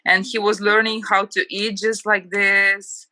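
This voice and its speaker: A woman's voice: mid-range voice, little pitch movement, a little slow, pushed and bright, no echo, poor audio. A woman in her thirties from Ukraine with a Ukrainian accent, chatting as an interview guest with lots of "like" fillers. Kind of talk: casual interview chat.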